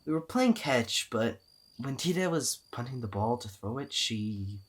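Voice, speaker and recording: A man's voice: mid-range voice, lively intonation, measured pace. A man playing a weary, cynical youth who rambles hesitantly through a confessional audio drama monologue. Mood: wry, casual. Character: Nikolai, weary, cynical, once-hopeful youth recounting his day.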